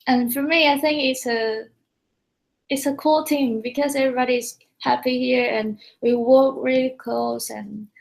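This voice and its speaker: A woman from Taiwan with a Taiwanese accent, a company staff member chatting casually on a livestream from the office, soft-spoken and restarting her phrases. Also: high voice, steady tone, measured pace, creaky.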